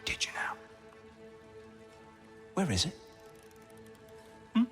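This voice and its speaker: Slow, bitter Norse god. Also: male voice, a bit bright, in a large hall, soft background music. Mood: menacing, sardonic. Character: bitter, wry Norse god, rebel leader. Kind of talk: cinematic video game acting.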